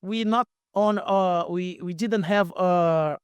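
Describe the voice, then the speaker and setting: Male interview guest, Brazilian accent, native Portuguese speaker, halting casual storytelling with repeated words, dry close recording. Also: high voice, smooth, dry recording. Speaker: podcast guest, radio station owner.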